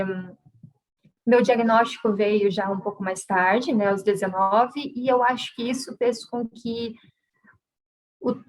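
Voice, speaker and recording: A woman in her twenties, a guest on a live chat, talking in a relaxed way with easy laughs and thoughtful pauses. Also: a little high, low-quality recording. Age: 20s.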